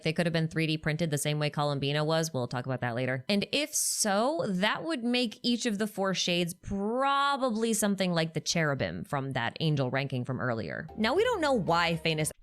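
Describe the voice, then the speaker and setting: A woman narrating a scripted explainer in a conversational storytelling manner, with casual asides. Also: low-pitched. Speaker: video essay narrator.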